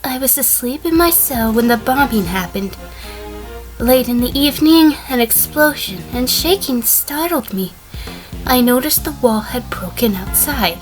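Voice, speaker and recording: Woman acting as guarded sheep defendant, high voice, cool, deliberate, composed, music underneath. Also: expressive, rough recording. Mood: composed, recounting. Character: female sheep defendant, cool, guarded former assistant mayor. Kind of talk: scripted fan audio drama acting.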